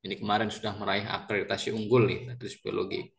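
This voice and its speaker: Chatty Indonesian lecturer with ngapak accent. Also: male voice, fairly low pitch, little pitch movement, fast, creaky. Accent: Banyumasan (ngapak) Javanese. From Central Java, Indonesia. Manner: chatty, with frequent fillers and repeated words; lecturer addressing students politely. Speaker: university lecturer. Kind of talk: introducing himself to an online class.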